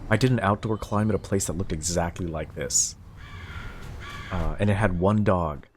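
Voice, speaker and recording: A man casually commenting while playing a game, gasping and jumping high in pitch when surprised. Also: normal speed, a little hiss or hum. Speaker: streamer. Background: game music.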